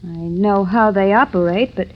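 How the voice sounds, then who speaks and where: A woman's voice: mid-range voice, moderate pace, close to an everyday voice. An actress playing a brave, headstrong young woman shopkeeper, blunt and challenging, in a 1930s radio drama. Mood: desperate determination. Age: young adult. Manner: blunt, plain-spoken, challenging. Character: brave, defiant, headstrong young shopkeeper protecting her father. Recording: dull 1930s radio recording.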